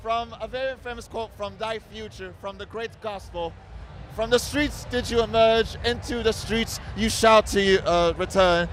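A man with a fairly high voice delivers a solemn mock sermon in a deadpan tone. His voice is worn and going hoarse. Faint background noise hangs under his words at the crowded expo. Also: normal speed. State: losing his voice. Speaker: costumed guest. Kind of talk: mock preacher persona. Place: crowded adult expo hall.

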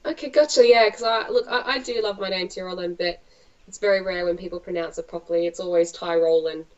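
A woman's voice: fairly low pitch, fairly even intonation, fast talker, lo-fi sound. A woman author hosting a casual podcast interview, chatty and full of slang, laughing often.

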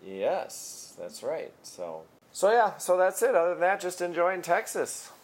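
A podcast co-host chatting casually, relaxed and laid-back, laughing often. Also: mid-range voice, measured pace. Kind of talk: casual podcast chat over a call.

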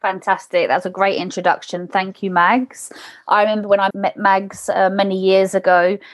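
A woman hosting an interview, thanking guests and handing over to the next speaker in a brisk, upbeat way. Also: mid-range voice, flat delivery, dry recording. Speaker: host.

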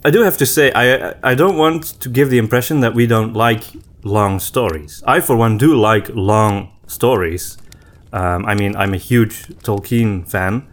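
A man's voice: lowish voice, measured pace. A man co-hosting a podcast, talking informally and thinking aloud as he goes. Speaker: podcast co-host. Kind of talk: casual discussion of storytelling.

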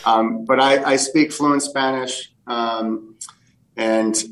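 A man's voice: medium pitch, fairly even intonation, takes it slowly, fairly bright tone, recorded from a distance, poor audio. A man in his fifties from the United States, answering interview questions in a casual, rambling way with many fillers and restarts. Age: 50s. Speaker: soccer technical director.

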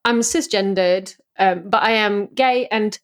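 A woman's voice: mid-range voice, measured pace, a bit bright. A woman in her thirties from Brazil, a native Portuguese speaker with a southern English accent, chatting and laughing easily as a podcast guest. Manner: chatty and laughing, with many restarts. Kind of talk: casual chat.

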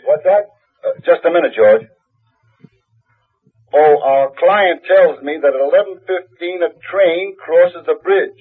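An actor playing a curt, commanding murder plotter in a 1940s radio thriller, with a husky voice. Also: male voice, on the high side, unhurried. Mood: coldly instructing. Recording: narrow, tinny 1940s radio phone line.

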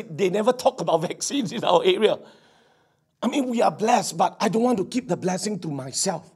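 Hoarse fervent Singaporean pastor man. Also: sits high, a little mellow. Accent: Singaporean English. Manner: fervent, repetitive, peppered with "Amen". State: hoarse from preaching. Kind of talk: sermon with prayer. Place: church service.

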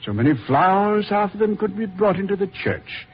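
An actor playing a suave, mocking Devil in a radio horror play, with amused, courtly, sardonic phrasing. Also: male voice, sits high, lively intonation, brisk, breathy edge. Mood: mocking relish. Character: suave, mocking Devil receiving a dead man. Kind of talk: old-time radio horror acting. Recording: muffled old radio recording, music behind.

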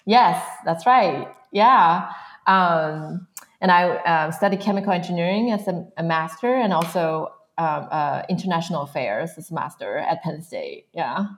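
A woman from northern China answers interview questions in English, her voice sitting a little low. She is in her thirties and fills her sentences with frequent "um"s, laughing softly now and then. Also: a little slow. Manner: frequent "um" fillers, soft laughs. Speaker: guest.

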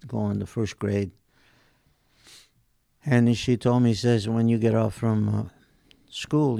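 An Italian man of eighty with an Italian accent, telling his life story in halting, hesitant English as an interview guest. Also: lowish voice, creaky, dark tone, dry recording, clean studio sound. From southern Italy. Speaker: podcast guest, retired tailor.

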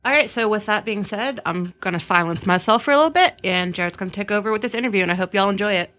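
A woman's voice: medium pitch, fast talker, a bit bright. A woman co-hosting a podcast, explaining things in a friendly, casual way. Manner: friendly, explanatory, says y'all. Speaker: podcast co-host.

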